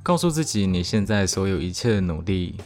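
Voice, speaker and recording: A man's voice: low-pitched, big pitch swings, brisk, a little mellow. A man with a Taiwanese accent playing a dependable army veteran housemate who gives earnest, mentoring advice in a radio drama. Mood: earnest, reassuring. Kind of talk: scripted radio drama dialogue. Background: background music.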